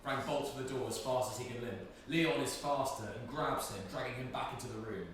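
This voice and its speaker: A man's voice: medium pitch, brisk, fairly bright tone. A man giving scripted narration, reading out stage directions for a play. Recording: very echoey, distant mic, noisy.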